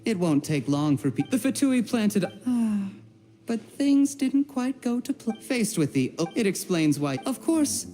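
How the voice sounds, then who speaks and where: An actor playing a quietly vengeful poisoner in a video game, speaking politely and deliberately. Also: male voice, high voice, sing-song. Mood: cold, gloating. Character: quietly vengeful male poisoner posing as timid partner.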